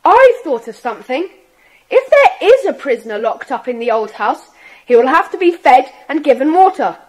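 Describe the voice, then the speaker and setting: An earnest young schoolboy from a children's audio adventure. The voice is very high and swings expressively up and down, keen and serious about club business. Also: female voice, sing-song, moderate pace, poor audio. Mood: earnest, planning.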